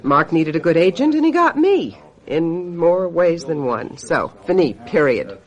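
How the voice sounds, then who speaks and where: A woman playing a sharp-tongued, brooding psychic in a radio mystery, blunt and knowing as she presses her sister. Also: on the low side, sing-song, a little slow, low-quality recording. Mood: blunt, bitter. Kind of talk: radio mystery drama acting.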